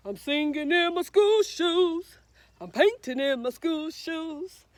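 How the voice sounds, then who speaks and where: A woman's voice: extremely high pitch, expressive, slow pace, dry recording. A woman reading a children's storybook aloud in a sing-song, encouraging way, with emphatic repetition and questions to young listeners. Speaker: read-aloud storyteller, librarian.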